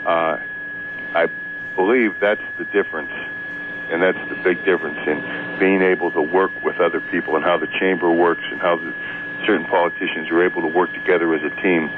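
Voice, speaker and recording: A man calling in to talk radio, chatting about politics in a halting, repetitive and emphatic way. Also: low-pitched, steady tone. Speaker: radio call-in guest. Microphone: telephone. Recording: tinny phone line, noisy hum, radio aircheck.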